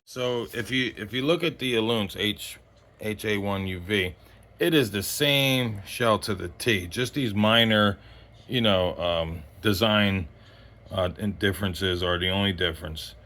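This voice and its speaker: A man with a lowish voice hosts an informal, unscripted gear review. He talks in a halting, rambling way and often doubles back mid-thought, as in casual chat with his viewers. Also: fairly bright tone. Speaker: host of a radio gear review channel.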